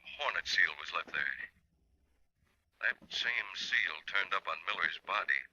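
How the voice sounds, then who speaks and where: A man's voice: sits low, animated, a little slow, pushed and bright, slightly rough, heavily acted. An actor playing a resolute newspaperman with a secret vigilante life in an old radio drama. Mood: grave, urgent. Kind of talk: 1940s radio acting. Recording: dull old radio recording.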